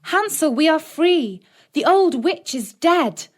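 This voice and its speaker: A woman's voice: high-pitched, animated, slow, pitched above the performer's own voice. A woman voicing a tender, earnest little girl in a children's fairy tale. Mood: excited relief. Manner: pleading, earnest exclamations.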